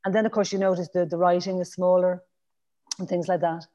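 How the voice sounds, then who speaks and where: A woman's voice: fairly low pitch, little pitch movement, brisk, warm tone. A woman in her fifties with a Dublin Irish accent, chatting as a podcast guest in a rambling way, with restarts and fillers. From Ireland. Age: 50s. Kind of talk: casual interview conversation.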